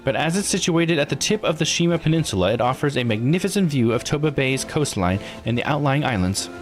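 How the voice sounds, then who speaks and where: A man's voice: mid-range voice, animated, quick pace, faint music behind, pitched above the performer's own voice, close to an everyday voice. A man voicing an eager, proud guide character while reading a visual novel aloud. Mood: bright, informative.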